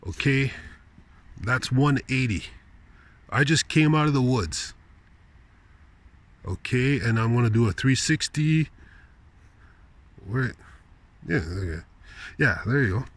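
A man's voice: mid-range voice, animated, takes it slowly, long pauses. A man rambling casually in slangy, incredulous asides, speaking into a handheld phone while walking outdoors at night. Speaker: vlogger. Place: outdoors at night on a park path.

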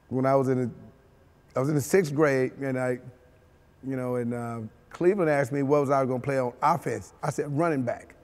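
A man's voice: mid-range voice, big-room sound. A man, telling his life story in a sit-down interview, easygoing and chuckling, full of "you know". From Mississippi, US. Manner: relaxed, chuckling, many you-knows. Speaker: former football player. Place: studio with dark backdrop.

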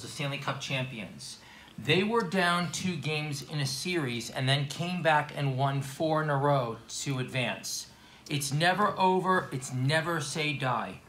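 A middle-aged man holds a handheld microphone at a restaurant table and builds his argument point by point in an earnest tone. His voice sits slightly high and bright, and the room adds a noticeable echo. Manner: earnest, declarative, lists points. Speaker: guest co-host. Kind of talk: casual sports talk show. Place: small restaurant dining room, seated at a table. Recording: echoey room.

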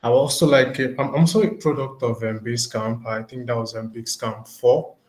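Flat-toned man in an echoey room. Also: medium pitch, fairly quick. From Nigeria. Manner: soft, hesitant, trailing off. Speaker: course trainer. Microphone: video call.

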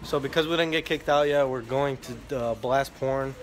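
A man's voice: mid-range voice, steady tone, normal speed, loud music behind the voice. A young man talking casually and in slang to camera as the host of a prank vlog. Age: young adult. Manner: informal, slangy. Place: outdoor parking lot and large store. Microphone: handheld phone at arm's length. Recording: noisy phone audio with traffic ambience.

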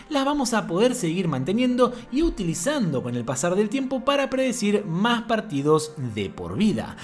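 A woman hosting a crypto channel, reading a smooth scripted tutorial voice-over in an even promotional delivery. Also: mid-range voice.

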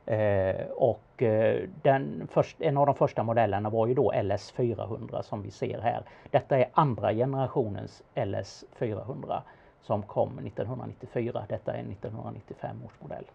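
A man giving casual expert commentary in a conversation, speaking haltingly with pauses in mid-sentence. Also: fairly low pitch, creaky, husky, phone-quality sound. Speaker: car expert answering questions about an auction car.

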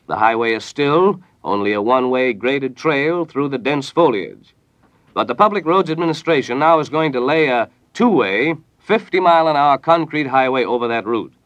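Male American 1940s radio news anchor; formal, measured bulletin reading, mid-pitched, close dry studio sound. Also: a bit bright, no echo.

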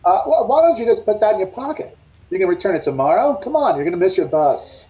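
A woman playing a smooth-talking, menacing male stranger in a 1940s-style radio drama, insistent and controlling. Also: medium pitch, sing-song, quick pace, natural voice. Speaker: actor in a radio play. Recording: dull video-call audio.

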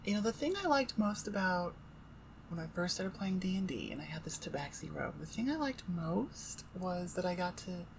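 A woman's voice: lowish voice, sing-song, normal speed, gravelly, low-quality recording. A woman audio creator talks casually to her listeners. She is informal and rambles, hesitating as she goes, and there is noticeable noise behind her voice.

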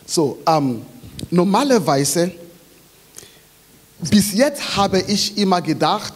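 A man in his forties from Nigeria preaching a sermon in a church hall into a handheld mic, pausing for an interpreter. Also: sits high, sing-song. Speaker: pastor.

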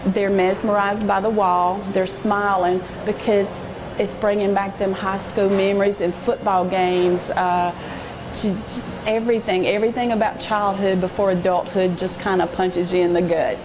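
A woman in her fifties from Alabama with a Southern accent, telling her story in an interview inside a small restaurant, earnest and halting at times. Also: mid-range voice, flat delivery, noisy background. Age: 50s. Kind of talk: emotional interview. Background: music.

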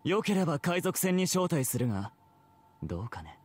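A man's voice: a little high, big pitch swings, normal speed, slight background noise. A man playing an elegant, teasing pirate captain in a game, polite, theatrical and self-assured. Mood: courtly, intrigued. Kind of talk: Japanese game character voice acting.

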